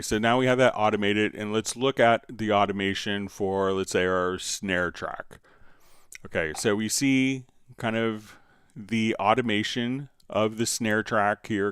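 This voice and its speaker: A man hosting a live software tutorial, explaining step by step and informally thinking aloud as he demonstrates. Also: lowish voice, clean tone, no room sound, studio quality. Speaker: software instructor and livestream host.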